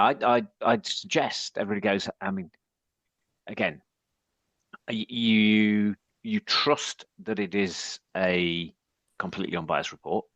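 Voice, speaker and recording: A man from northern England with a deep husky voice, hoarse from shouting, talking as a guest on a podcast with many restarts. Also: no echo. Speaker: podcast guest, agency head. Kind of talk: casual panel chat.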